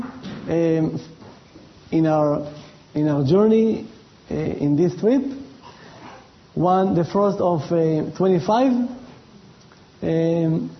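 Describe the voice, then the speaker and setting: An Israeli man with a high voice speaks slowly and deliberately, pausing often, as a missionary addressing a congregation. His accent is clear. The recording sounds dull and lo-fi. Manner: slow, deliberate, with pauses and fillers. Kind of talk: church presentation.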